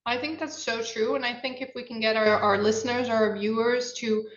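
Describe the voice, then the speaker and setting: A woman's voice: fairly high pitch, fairly even intonation, moderate pace. Chatty woman in a roomy, dull recording. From Brazil. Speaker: podcast co-host. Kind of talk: casual podcast chat.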